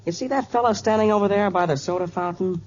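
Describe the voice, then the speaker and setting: A man's voice: high voice, quick pace, slight background noise, close to an everyday voice. A man playing a suspicious, officious drugstore clerk in an old radio comedy, on a muffled recording.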